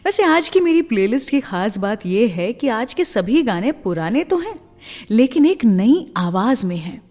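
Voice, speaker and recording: A woman with an Indian accent presents a radio music show. Her voice sits a little high and carries a long, hall-like reverb. She talks in a chatty, conversational style and mixes English phrases in as she goes. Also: very animated, unhurried, a little mellow.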